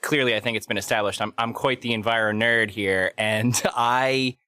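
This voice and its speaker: A man's voice: on the low side, steady tone, normal speed, pushed and bright, dry recording, studio quality. A man co-hosting a podcast in casual chat, enthusiastic and self-deprecating. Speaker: podcast co-host.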